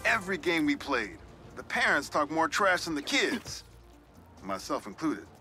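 A middle-aged American newspaperman in a video game, genial and nostalgic. His voice is fairly high and swings expressively as he shares reflective stories, with faint music behind. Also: sing-song, unhurried, bright, forceful tone, exaggerated voice. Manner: storytelling, reflective. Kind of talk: game voice acting, conversational monologue.

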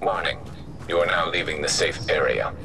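A man voicing a calm, emotionless male AI system that gives clipped warning announcements through a processed digital filter. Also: a bass voice, moderate pace, vocal fry. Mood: alerting. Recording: game audio with loud background noise.

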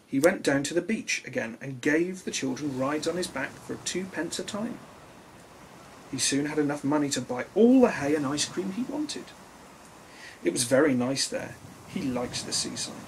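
A man's voice: mid-range voice, a little hiss or hum, poor audio. A man in his thirties reading a children's storybook expressively, speaking simply to young listeners.

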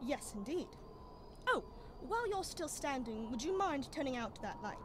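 High-voiced genial Doctor Watson, played by a woman. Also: sing-song, normal speed, background noise. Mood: teasing, genial. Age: young adult. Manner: chatty, polite, storytelling. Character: Doctor Watson, genial male storyteller and companion. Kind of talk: 1930s-style radio drama acting.

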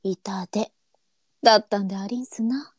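A woman playing a tender-hearted, melancholy courtesan of old Japan in a live voice drama, speaking softly and demurely in courtesan dialect. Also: mid-range voice, steady tone, normal speed, breathy edge, no room sound, studio quality, lightly acted. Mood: concerned. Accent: courtesan arinsu dialect. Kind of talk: online live voice drama acting.

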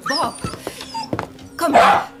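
A woman's voice: extremely slow, over loud music. An actress playing an anxious, indignant English lady in a retro radio mystery, dramatic and emotional. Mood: flustered. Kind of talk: retro German detective radio play acting.

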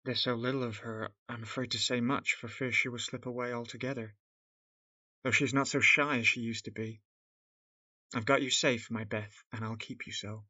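A man playing a gentle, loving father praising his daughters after returning home, in an audio drama. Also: mid-range voice, steady tone, dry recording, lo-fi sound. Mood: tender. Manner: warm, measured praise. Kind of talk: radio drama acting.

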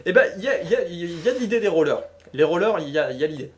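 A young woman co-hosting a gaming talk show live stream, teasing and animated as she runs a guessing game. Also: lowish voice. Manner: teasing, animated, quick laughs. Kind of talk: playful livestream quiz chat.

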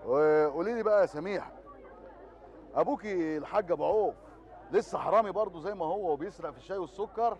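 A teasing mellow-voiced cop. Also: male voice, high-pitched, fast, dull audio, slight background noise. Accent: Egyptian Arabic. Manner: teasing, chuckling. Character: joking police officer, man.

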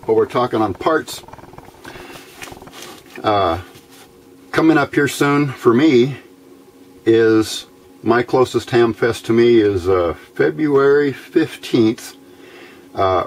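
American man, fairly low voice, casual hobbyist demonstration, halting and unscripted, some background noise. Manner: halting, thinking aloud. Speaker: hobbyist radio builder presenting a how-to video. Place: small indoor workbench.

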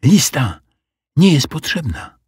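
Man doing an eager, high-pitched little girl. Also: takes it slowly, husky, dry recording, studio quality, full character voice. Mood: confident. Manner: excitable, emphatic, confident. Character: clever, eager little girl helping a dragon.

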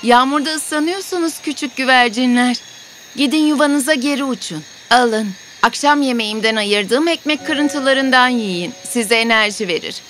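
A woman playing a kind, gentle young stepdaughter in an animated fairy tale. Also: high voice, normal speed, a little hiss or hum. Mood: kind, concerned.

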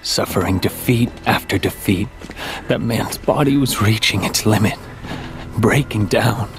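A man voicing a brooding, poetic, mysterious young man with a grave, measured manner in a video game. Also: lowish voice, low-quality recording. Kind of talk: video game cinematic acting and narration. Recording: music behind the voice.

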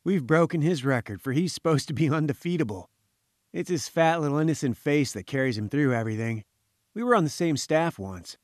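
Man playing a breezy, wry gentleman journalist. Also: fairly high pitch, lively intonation, fairly quick, no echo, clean studio sound, lightly acted. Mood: informative, proud. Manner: breezy, old-chap British slang. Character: genial, wry male journalist, firm but friendly.

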